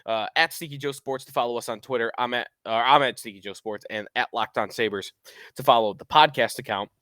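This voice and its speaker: A man hosting a sports podcast, talking in an energetic, opinionated, chatty way while getting over a cold and losing his voice. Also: mid-range voice, a little fast, dry recording, clean studio sound. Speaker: podcast host.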